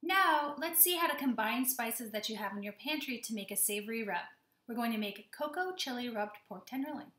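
A woman's voice: a little high, animated, a little fast, pushed and bright. Upbeat woman chef explaining cooking steps. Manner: upbeat, explains each step plainly. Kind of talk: friendly cooking demonstration. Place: home kitchen, indoors.

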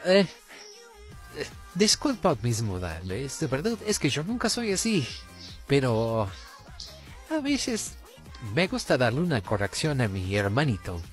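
Guy doing smug sly short puppet brother for a fandub, slow but very lively, music behind. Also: medium pitch. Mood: falsely polite. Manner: mocking, giggly, hesitant politeness. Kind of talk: amateur Spanish fandub roleplay acting. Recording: music under the voice.

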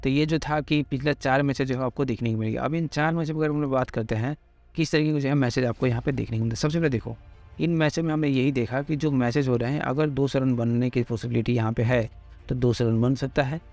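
A man presenting a cricket pitch report, reading off wickets and runs from past matches in loose, informal speech padded with fillers. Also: fairly even intonation, fast talker, a little mellow, faint music behind. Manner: rattles off figures with constant fillers. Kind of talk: fast informal cricket stats rundown.

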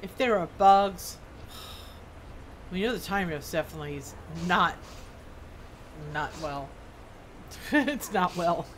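Low-voiced woman chatting over gameplay, noisy background. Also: lively intonation, takes it slowly, pauses often. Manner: chatty, reacts aloud to the game. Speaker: game streamer. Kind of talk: casual live gameplay commentary, reading quest text aloud.

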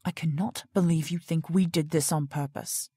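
A woman's voice: low voice, fairly even intonation, normal speed, mellow, breathy edge, no echo, studio quality. An actress in an audio drama playing an indignant, strong-willed woman who works at a research facility.

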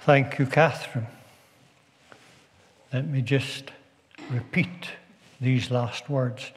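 A man preaching a sermon as a visiting minister, measured and deliberate with long pauses. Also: medium pitch, steady tone, a little hiss or hum. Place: stone church. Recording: long church reverb.

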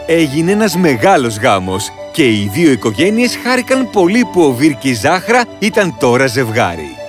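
Very expressive male storybook narrator. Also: music in the background. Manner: dramatic, highly expressive storytelling.

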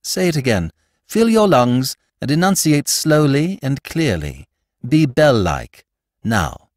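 Man playing a suave, animated gentleman thief. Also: mid-range voice, slow, no room sound, clean studio sound, voice pushed up. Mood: teasing. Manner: articulate, teasing, assured.

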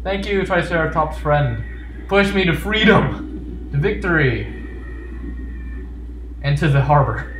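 A man's voice: on the high side, animated, slow, pauses often, a bit bright, echoey room, a little hiss or hum, poor audio. A man giving casual video game commentary with jokey, playfully sarcastic asides. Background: video game music.

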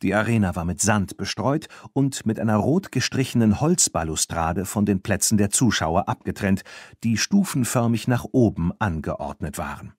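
A man narrating a children's audiobook in a measured, clearly enunciated storytelling manner. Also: on the low side, no echo, studio quality. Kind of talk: scripted children's audiobook narration, reading characters' lines.

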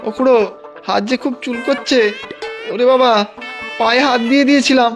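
A high-pitched, naive cartoon husband. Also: female voice, steady tone, a little fast. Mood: whining, distressed. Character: simple, forgetful henpecked village husband in a cartoon. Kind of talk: animated cartoon dialogue. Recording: music clearly behind the voice.

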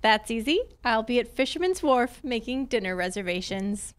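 A woman acting a sharp, skeptical young private detective in an old-time radio mystery, wry and brisk. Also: a little high, animated, noisy background, lightly acted. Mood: skeptical, bantering. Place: theatre stage, live performance. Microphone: handheld-style mic on a stand.

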